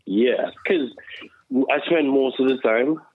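A man, a social worker, talking about himself in a casual chat with a deep voice, earnest and self-assured, full of "like" and "you know". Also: takes it slowly. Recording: tinny phone-like line, dry.

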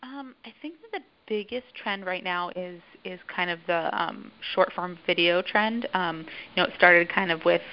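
A woman who writes for a museum, answering interview questions thoughtfully with many filler pauses. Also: fairly low pitch, muffled recording.

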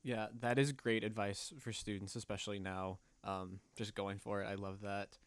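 A man's voice: fairly low pitch, level tone, normal speed, smooth, no echo. A man hosting an interview, asking long, rambling questions with hesitations. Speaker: interviewer host.

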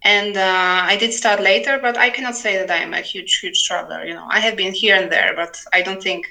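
Female writer guest, forties, bright forward voice, wry and humorous in remote conversation. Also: mid-range voice, fairly even intonation, a little fast, vocal fry, low-quality recording. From Poland. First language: Polish. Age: 40s. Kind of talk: conversational interview. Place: remote internet call.

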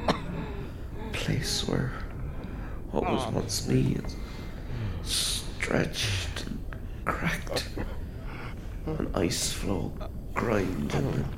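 An actor playing a tormented, bitter injured fire officer in a radio drama, with a halting, breathy, gravelly delivery. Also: male voice, fairly low pitch, reverberant, heavy background noise, exaggerated voice. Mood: anguished. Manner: halting, brooding interior monologue.

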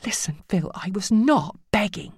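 A timid, frightened woman in an audiobook drama, played by a female narrator. Her voice sits high and pleads submissively, always trying to placate. The recording is close and dry. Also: expressive, measured pace, breathy edge, dry recording, full character voice. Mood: pleading. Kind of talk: audiobook character acting.

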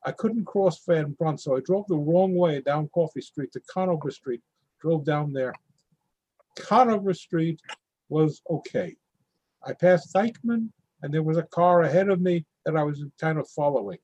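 Brief: man playing a storm-night driver, animated telling, warm dark tone, dry room. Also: on the high side, normal speed, husky, no echo, low-quality recording. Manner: vivid, animated storytelling. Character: man who drove through storm flooding. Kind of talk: readers theatre monologue.

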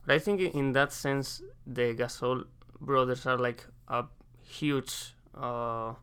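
A young man from Spain with a Spanish accent, an exchange student answering interview questions casually and haltingly, pausing to search for English words. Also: mid-range voice, fairly even intonation, fairly bright tone, smooth. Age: young adult.

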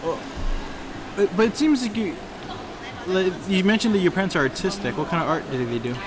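Fast-talking, stammering male interviewer. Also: high voice, big pitch swings, over music. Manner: quick, stammering questions. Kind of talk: casual interview chat. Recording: noisy background ambience.

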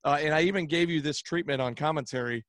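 A man who is a wrestling commentator, talking in a rambling way with many pauses and restarts. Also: a little high, a bit bright, no echo, clean studio sound. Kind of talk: casual podcast chat.

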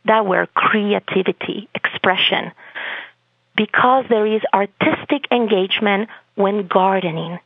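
A woman from Spain with a Spanish accent, speaking as an expressive arts therapist in an interview, earnest and affirming. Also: medium pitch, no room sound. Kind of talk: podcast interview. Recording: phone-line audio, dry.

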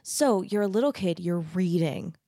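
A woman's voice: fairly low pitch, expressive, moderate pace, warm tone, dry recording, studio quality. A woman in her thirties with an American accent, chatting informally as the host of a conversational interview, often chiming in with agreement. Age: 30s. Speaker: podcast host and university teacher.

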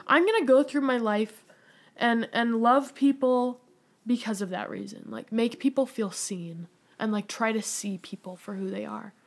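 Chatty young woman, fairly high voice. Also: moderate pace. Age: young adult. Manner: rambling, lots of "like" fillers. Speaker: podcast guest. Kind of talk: casual candid conversation.

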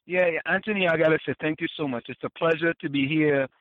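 Older man from Trinidad and Tobago, fairly high voice, tinny dry phone line, earnest guest, restarts and repeats words. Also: fairly even intonation, fast, no room sound. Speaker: guest, former Wall Street compliance officer. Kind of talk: casual interview talk.

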